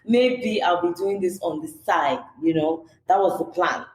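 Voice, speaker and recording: A woman's voice: fairly low pitch, measured pace. A young Nigerian woman with a Nigerian accent, chatting as a podcast guest, full of "you know" fillers. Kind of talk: casual interview chat. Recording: echoey room with background noise.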